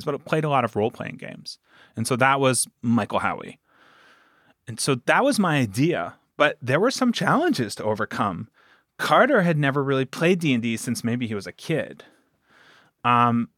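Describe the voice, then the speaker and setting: A man chatting casually as an interview guest, telling stories in an animated way with quoted asides. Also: mid-range voice, dry recording, clean studio sound. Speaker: podcast producer and game master, interview guest. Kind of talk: casual conversational storytelling.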